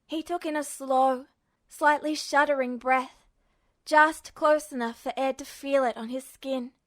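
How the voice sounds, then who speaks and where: A woman reading fiction aloud as a narrator, with expressive, dramatic storytelling. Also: high-pitched, a little slow. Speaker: amateur audiobook narrator.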